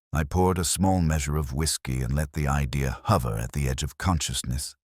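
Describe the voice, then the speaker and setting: Very deep-voiced male mystery narrator. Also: dry recording, studio quality. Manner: measured, reflective, literary. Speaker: narrator of a detective mystery, speaking in the first person as the inspector. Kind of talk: scripted first-person audio drama narration.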